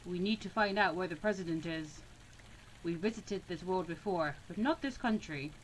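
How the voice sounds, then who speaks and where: A woman's voice: lowish voice, moderate pace, a little hiss or hum, pitched below the performer's own voice, close to an everyday voice, poor audio. A woman voicing a stern, commanding male mentor from a visual novel, speaking in terse, cold commands. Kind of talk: visual novel read-aloud.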